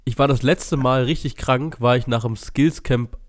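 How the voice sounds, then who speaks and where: A young man hosting a podcast with a blocked-up nose, relaxed and teasing in casual chat. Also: mid-range voice, moderate pace. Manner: relaxed, teasing, says "Alter" often. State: stuffy nose. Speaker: podcast host.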